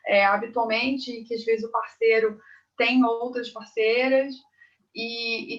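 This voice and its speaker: A woman's voice: on the high side, fairly bright tone. A woman gynecologist explaining patiently on a video call, with frequent "né"s. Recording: muffled distant video call audio.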